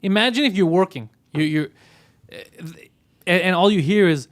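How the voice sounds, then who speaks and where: A young man co-hosting a comedy show, chatting in an animated, mocking way and laughing easily. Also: high voice, moderate pace. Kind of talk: casual comedic chat.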